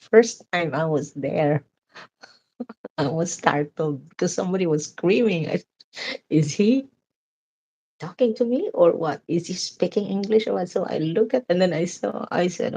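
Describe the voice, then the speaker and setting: Loud, talkative woman vlogger from the Philippines, dark husky voice, live-stream chat. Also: lowish voice, low-quality recording. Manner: loud, chatty, laughs often. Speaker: vlogger chatting with live viewers.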